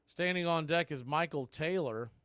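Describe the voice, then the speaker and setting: A man calling a baseball game play by play, rising to excited calls on big plays. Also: fairly high pitch, normal speed, smooth, dry recording. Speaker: play-by-play announcer.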